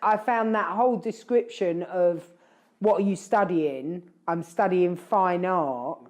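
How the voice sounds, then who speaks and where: A woman's voice: lowish voice, slow pace, darkish tone. A woman hosting a casual chat, talking in a rambling, reflective way and often correcting herself. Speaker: podcast host.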